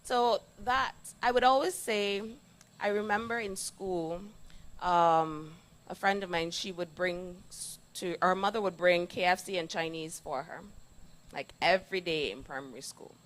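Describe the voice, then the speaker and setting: A woman with a Barbadian accent talks through health advice in a conversational interview. Her voice sits a little low for a woman. She explains in an animated, demonstrative way, as a doctor would to a listener. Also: unhurried, rough recording. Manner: animated explaining, acts out imagined remarks, checks with "yes?".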